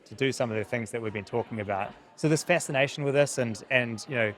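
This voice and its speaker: New Zealand man, thirties, medium-pitched voice, earnest and halting interviewee explaining his work. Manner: earnest, hesitant with repeated words. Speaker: technology company founder, interview guest. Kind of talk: conversational interview answers.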